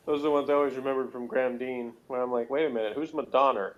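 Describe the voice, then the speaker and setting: A man talking casually as co-host of a review discussion. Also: medium pitch. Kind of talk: casual chat. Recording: dull, muffled lo-fi sound.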